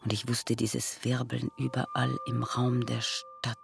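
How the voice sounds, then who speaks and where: Slow, gravelly man reciting over music. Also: mid-range voice, completely flat delivery. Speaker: reciter of literary prose. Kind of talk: literary recitation for a radio sound composition.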